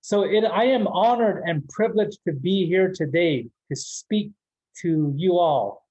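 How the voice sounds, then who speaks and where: A man's voice: high voice, unhurried, a little mellow, breathy edge, dull audio. A man from the Navajo Nation in New Mexico, giving a casual motivational talk as guest speaker, joking and laughing often.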